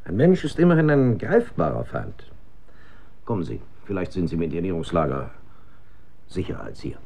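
A man's voice: low-pitched, very animated, normal speed, vocal fry, dark tone, full character voice. A man in a German radio drama playing a cold, officious civil defence officer, curt and authoritative. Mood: stern, menacing.

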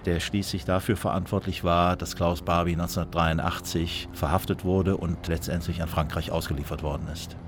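A man speaking as a journalist and presenter, explaining deliberately with pauses in mid-phrase. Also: deep voice, level tone, noisy background. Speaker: journalist and author presenting the programme. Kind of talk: explanatory commentary to a documentary radio drama.

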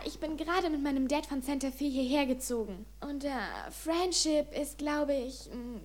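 Eine Schauspielerin spricht in einem deutschen Hörspiel eine zögerliche, trockene Schülerin im Teenageralter. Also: female voice, sits high. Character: teenage schoolgirl, hesitant but wry. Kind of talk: German audio drama acting.

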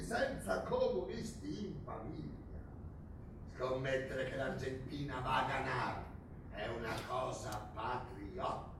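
A menacing military officer of a dictatorship, boastful and full of scorn. His delivery is declamatory and pompous, at a middling pitch. It reaches the listener from a distance, through heavy echo and clear background noise. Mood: goading, boastful. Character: menacing, boastful Argentine army captain of the dictatorship. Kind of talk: theatrical stage drama acting. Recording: very echoey distant stage recording, noisy.

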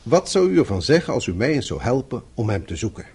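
An actor playing a courteous, persuasive broadcast journalist in a Dutch radio drama, with a polite, coaxing way of talking. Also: male voice, mid-range voice, sing-song, fast, darkish tone, slightly rough, full character voice.

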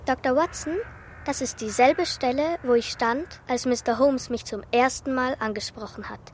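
A woman playing a precocious little girl in a radio mystery. The voice is fairly high, and she reports what she has seen in a candid, chatty, matter-of-fact way. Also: normal speed, slight background noise, natural voice. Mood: eager. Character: observant, candid, precocious young girl witness. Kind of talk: radio play acting.

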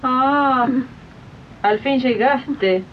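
A woman's voice: sits high, drawn-out words, slight background noise. A woman playing a haughty, suspicious lady of the house in a 1980s community radio drama, condescending and nagging, with an Argentine accent. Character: haughty, suspicious female employer of a maid. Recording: muffled 1980s archive radio recording.

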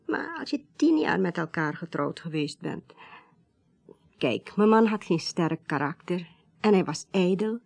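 A reserved widow in a radio drama. Her voice is soft-edged and mellow, and she speaks in halting, polite phrases while quietly insisting on answers. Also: fairly low pitch, expressive, gravelly, heavily acted. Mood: insistent, troubled. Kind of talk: naturalistic Dutch radio drama acting.

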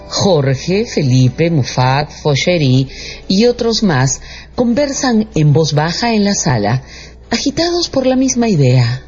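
A man's voice: fairly high pitch, darkish tone. A man narrating a radio dramatization in a scripted storytelling style. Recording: slightly dull sound with music underneath.

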